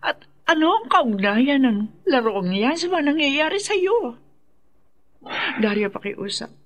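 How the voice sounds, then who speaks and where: Worried filipino wife begging, highish swoopy voice, emotional radio drama. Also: female voice, very animated, unhurried, slight background noise. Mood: worried, pleading. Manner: imploring, emotional. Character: worried, pleading wife of a troubled man.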